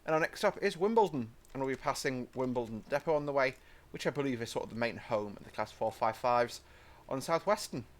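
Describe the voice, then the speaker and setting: A man's voice: mid-range voice, expressive, fairly quick, few pauses. Young british man hosting a train game, rambling and chatty. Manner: rambling and chatty, apologetic asides. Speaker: gaming channel host. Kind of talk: casual train-sim let's-play commentary.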